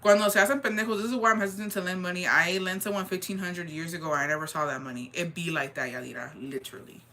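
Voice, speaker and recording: Chatty deep-voiced woman spilling gossip. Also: fairly even intonation, fast, bright, forceful tone, slight background noise. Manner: chatty, slangy, mixes in Spanish phrases. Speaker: livestreamer reading viewer comments. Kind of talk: casual gossip chat.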